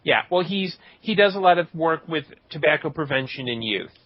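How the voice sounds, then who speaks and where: Guy hosting a podcast, jokey casual chat, highish voice, kinda dull lo-fi audio. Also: expressive, a little fast, no room sound. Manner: joking, tongue-in-cheek. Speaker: podcast host. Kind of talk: casual chat reading out listener shout-outs. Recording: dull lo-fi voice recording.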